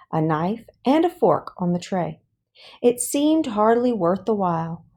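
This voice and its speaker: A woman reading a children's book aloud as an audiobook, with deliberate, expressive phrasing for young listeners. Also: mid-range voice.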